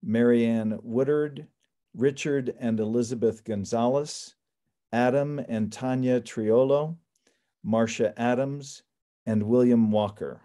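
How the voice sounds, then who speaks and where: Mellow-voiced older man, formal and measured. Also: mid-range voice, fairly even intonation. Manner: measured, deliberate, enumerating points. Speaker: attorney for appellants at a public hearing. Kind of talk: formal prepared legal summary. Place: indoor room on a video call. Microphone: computer video call microphone.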